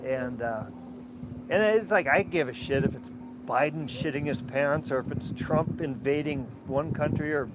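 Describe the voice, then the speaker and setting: A man from Minnesota with an Upper Midwest American accent, talking casually and haltingly from his sailboat, full of restarts and blunt asides. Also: a little high, heavy background noise. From Minnesota, USA. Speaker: sailing vlogger. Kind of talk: rambling casual vlog chat. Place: outdoors on a sailboat.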